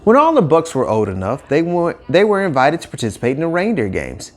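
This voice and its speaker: A man narrating a scripted children's story with expressive, emphatic phrasing. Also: on the high side, a little fast.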